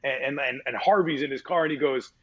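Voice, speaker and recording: A man with a husky mid-register voice chats loosely in an interview, piling on fillers and restarts and tossing in casual swears. The sound is dull and lo-fi. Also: mid-range voice, a little fast. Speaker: voice actor guest.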